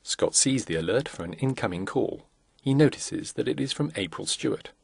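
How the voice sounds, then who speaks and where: A man reading scripted corporate narration for a product demo in a measured, instructional way. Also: medium pitch, animated, vocal fry, slightly rough, no room sound, studio quality.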